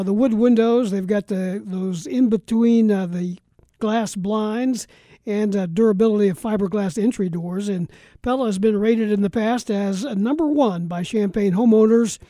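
A man presents local sports radio with an easygoing, conversational delivery. His tone is mellow rather than bright, and the studio sound is very dry and close. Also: high voice, normal speed, dry recording. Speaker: radio sports talk host. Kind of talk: casual radio sports talk and live sponsor reads.

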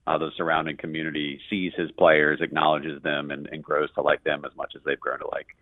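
A man giving conversational sports analysis as a radio play-by-play guest, in a halting way with self-corrections. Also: very deep voice, flat delivery, no room sound, narrow, tinny audio.

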